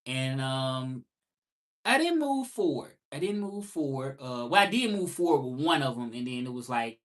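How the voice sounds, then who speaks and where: A man's voice: medium pitch, sing-song, moderate pace, fairly bright tone. Southern man, 30s, reflective livestream host, repeats key points. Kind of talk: casual live monologue.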